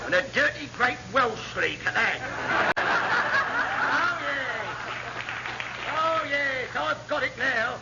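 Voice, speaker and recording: An actor playing a scheming, aggrieved, blustering navy chief petty officer in an old British radio comedy. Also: male voice, very high-pitched, lively intonation, takes it slowly, pauses often, over a noisy crowd, heavily acted. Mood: suspicious. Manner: blustering, indignant, theatrical. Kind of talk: 1950s-60s British radio comedy acting. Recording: muffled, very noisy old radio recording.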